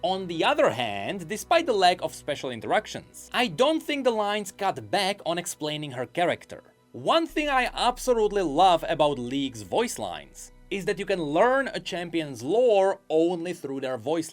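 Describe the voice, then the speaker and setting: A man's voice: fairly high pitch, a bit bright. A man narrating a scripted commentary video about game character lore, eager and explanatory.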